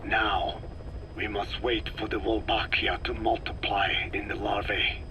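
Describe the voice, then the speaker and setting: Man acting a slow, solemn old scientist. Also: on the low side, flat delivery, very noisy, lightly acted. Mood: grave, explanatory. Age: older. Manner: solemn, deliberate, formal lecturing. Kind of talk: video game cutscene acting.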